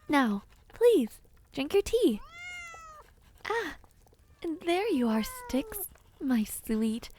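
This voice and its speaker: Kindly otherworldly witch for audio roleplay, played by a woman: high voice, soothing, old-fashioned phrasing, caring and a little scatterbrained. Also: slight background noise, exaggerated voice. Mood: gentle reassurance, then affectionate. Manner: gentle, soothing, old-fashioned formal phrasing, easily distracted. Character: kind, dreamy peculiar witch, otherworldly and caring. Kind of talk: audio roleplay addressed to the listener.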